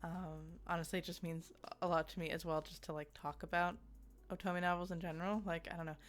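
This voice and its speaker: A woman's voice: lowish voice, little pitch movement, fairly quick. A woman giving a casual, conversational video game review in a giggly, self-deprecating manner.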